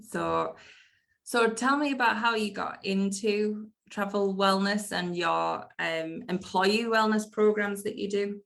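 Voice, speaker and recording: A woman's voice: medium pitch, slow pace, creaky, fairly bright tone. A woman hosting a podcast interview, upbeat and eager, praising with words like incredible and brilliant. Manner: upbeat, eager, leans on superlatives. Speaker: podcast host. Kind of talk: casual interview chat.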